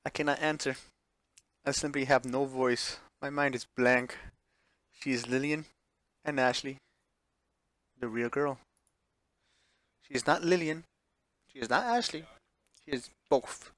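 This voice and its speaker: A man reads a visual novel aloud for a live stream in a slightly low-pitched voice. He stumbles and corrects himself as he goes, dropping in offhand reactions. The sound is dry and close to the microphone. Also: steady tone, a little slow, pauses often, fairly bright tone, no room sound. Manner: stumbles over words and corrects himself while reading.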